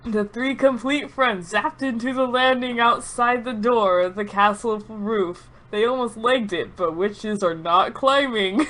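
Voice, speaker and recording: A woman's voice: on the high side, unhurried, hardly any pauses, a bit bright. Woman doing a dramatic read of silly fanfic, tries to stay serious but keeps cracking up. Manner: mock-serious storytelling, often breaking into giggles. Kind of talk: dramatic reading of absurd fan fiction.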